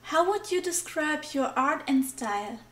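A woman hosting her art channel, reading a brisk scripted intro and interview questions. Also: high voice, expressive, a bit bright, slight background noise. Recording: echoey room.

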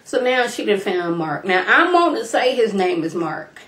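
A young woman, slightly hoarse and congested from being sick, chatting casually to her webcam as she recaps a reality show, calling her viewers y'all. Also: medium pitch, big pitch swings, normal speed, fairly bright tone, echoey room. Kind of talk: casual recap talk to camera. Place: small room at home, indoors. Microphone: webcam at desk distance.

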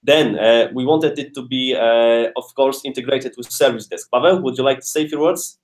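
A man's voice: medium pitch, moderate pace. A man presenting a product in a webinar over a video call, speaking as one of the presenters.

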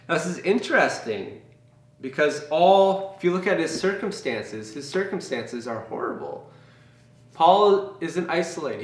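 A man's voice: fairly high pitch, a bit bright, far from the mic. Earnest young man teaching in an echoey room. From Canada. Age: young adult. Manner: earnest, conversational, with frequent fillers and restarts. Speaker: campus ministry leader. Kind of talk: informal Bible teaching to camera. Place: small room with brick wall and bookshelves, indoors. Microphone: camera mic from a few feet away. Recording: echoey room, voice set back from the mic.

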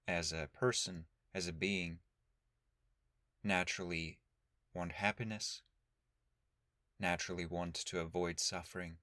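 Low-voiced man guiding a meditation. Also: no echo, clean studio sound. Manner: calm, measured, instructional, with long pauses. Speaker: meditation teacher. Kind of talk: guided meditation.

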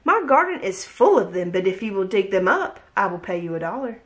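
A woman's voice: medium pitch, sing-song, brisk, fairly bright tone. Woman reader voicing a genteel older lady, exclaiming, picture-book read-aloud. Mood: fussy. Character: older neighbor lady Miss Margaret, fussy.

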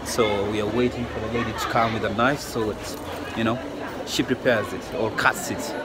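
A man's voice: lowish voice, steady tone, normal speed. A young man from Kenya talking casually to his phone camera as a travel vlogger while walking through a market, with many fillers. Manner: chatty, talks straight to camera, many fillers. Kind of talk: casual walking vlog narration. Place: busy indoor market and city street. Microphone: phone held at arm's length. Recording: noisy market crowd with loud music. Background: crowd chatter and music.

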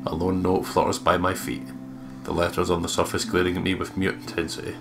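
A man's voice: low voice, flat delivery, a little fast, gravelly. A man reading visual novel narration aloud as a let's play co-host. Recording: guitar music behind the voice. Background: plucked guitar game music.

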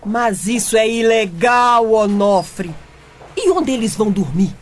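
A woman's voice: fairly high pitch, animated, slow, a little hiss or hum. A woman playing a nagging, upright, matchmaking wife with an insistent manner and Brazilian accent in a comic radio drama. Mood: alarmed, disapproving.

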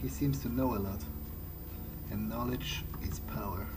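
A man's voice: lowish voice, steady tone, measured pace, very noisy. A man thinking aloud in character as he plays a game, musing and hesitant as if talking to himself. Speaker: gamer playing in character. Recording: loud music behind the voice. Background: game music.

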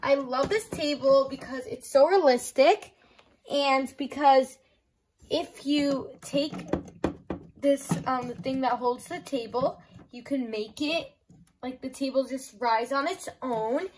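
A woman's voice: high-pitched, slow, darkish tone, a little hiss or hum. A young woman giving a casual, enthusiastic toy review in simple words, with plenty of "like" as filler. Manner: enthusiastic, simple explaining with frequent "like" and "I love". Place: small room at home.

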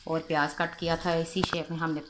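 A woman calmly explaining a recipe step by step in her home kitchen, recorded on a phone held over the stove. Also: low-pitched. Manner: calm, unhurried explaining with friendly "chalein ji" prompts. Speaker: cooking channel host. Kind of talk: step-by-step cooking instruction. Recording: loud frying and stirring noise behind the voice.

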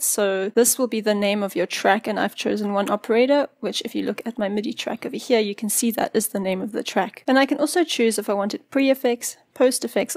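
A woman presenting a tutorial in an informal, explanatory way, walking through software step by step. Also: fairly high pitch, no echo, studio quality.

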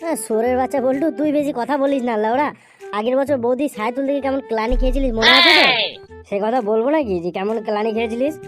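Woman as brash cartoon lad, very fast, sweary, exclamatory, music underneath. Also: high-pitched, fairly bright tone. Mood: mocking, irritated. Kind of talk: amateur comedy cartoon dubbing.

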